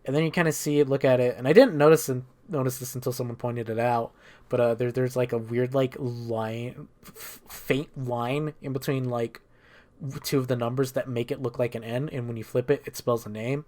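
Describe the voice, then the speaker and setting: A man's voice: medium pitch, steady tone, moderate pace, darkish tone. A man giving a casual, unscripted video game recap in a rambling, slangy way with plenty of swearing. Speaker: video creator.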